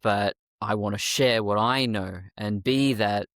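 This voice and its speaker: A woman with a deeper voice, a guest talking bluntly and sardonically, swearing freely. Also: unhurried, no room sound, clean studio sound. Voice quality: deeper voice after testosterone. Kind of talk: candid interview talk.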